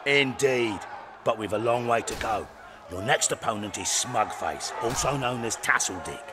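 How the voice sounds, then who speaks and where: An actor playing a boastful, scheming fight promoter in a video game, with a grandiose way of talking. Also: male voice, medium pitch, lively intonation, moderate pace, noisy background, lightly acted. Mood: excited, showy. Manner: grandiose, speaks of himself in third person.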